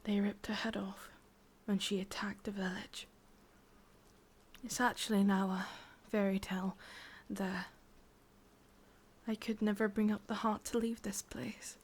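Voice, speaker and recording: A woman with an English accent voicing a sorrowful, obsessive witch in an intimate ASMR roleplay, speaking softly in halting, confiding whispers. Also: medium pitch, level tone. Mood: grieving. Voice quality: soft, breathy, mostly whispered. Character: sorrowful, obsessive female witch, tender yet menacing.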